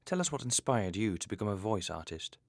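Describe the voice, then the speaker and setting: A man's voice: on the low side, expressive, a little fast, gravelly, breathy edge, no echo, studio quality. A man hosting an interview series, reading formal, scripted introductions and questions.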